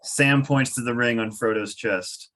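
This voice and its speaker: A man's voice: mid-range voice, measured pace, a bit bright. A man reading out stage directions as narrator at a fantasy script table read, with a wry manner.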